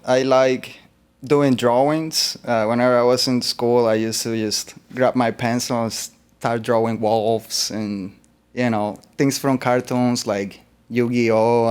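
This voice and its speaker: A man from Colombia, a guest artist in an interview, talking in a relaxed, rambling way with fillers. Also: mid-range voice, clear, resonant tone. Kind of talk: interview conversation.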